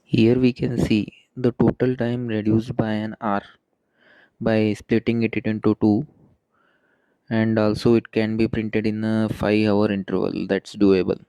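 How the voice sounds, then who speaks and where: A man's voice: fairly low pitch, monotone, a little slow, dark tone, smooth, no room sound, poor audio. A man narrating a maker project as an explanatory voice-over, in a plain, matter-of-fact way.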